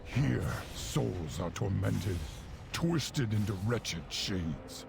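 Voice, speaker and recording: A man playing a weary, solemn orc warrior chieftain in a fantasy game, speaking in a measured way. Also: on the low side, lively intonation, gravelly, noisy background, full character voice. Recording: game music behind.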